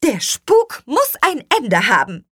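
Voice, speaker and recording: A decisive woman from a children's storybook, acted by a female reader. Her voice is bright and raised, with exclamatory emphasis and big swings in pitch. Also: sing-song, slow, dry recording, clean studio sound, exaggerated voice. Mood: resolute. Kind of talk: children's storybook character reading.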